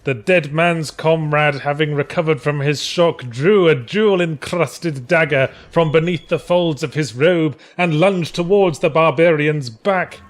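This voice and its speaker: Male co-host, somewhat high pitch: mock dramatic reading mixed with wry casual banter. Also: unhurried, hardly any pauses. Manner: wry, joking.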